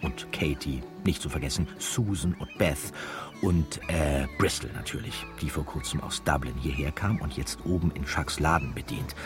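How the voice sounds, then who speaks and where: Music plays behind a very deep male voice. the easygoing narrator of a radio drama, telling of village life with wry, unhurried calm. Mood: wry, musing.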